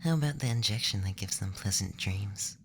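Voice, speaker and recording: A man voicing a curious, bumbling alien examiner in a soft, breathy ASMR whisper, close to the microphone. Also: lowish voice, normal speed, background noise, close to an everyday voice. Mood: pleased, gentle. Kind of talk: ASMR roleplay.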